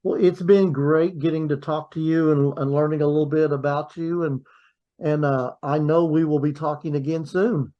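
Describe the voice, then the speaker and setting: A man hosting a podcast, chatting in a friendly, halting way and often repeating words. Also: fairly high pitch, steady tone, dull audio. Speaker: podcast host. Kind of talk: casual interview chat.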